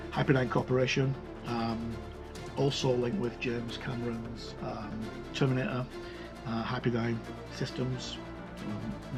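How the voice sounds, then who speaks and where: Guy reviewing a tabletop rpg book, medium pitch, halting and unscripted, music going in the background. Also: gravelly. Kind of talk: informal walk-through review, partly reading aloud.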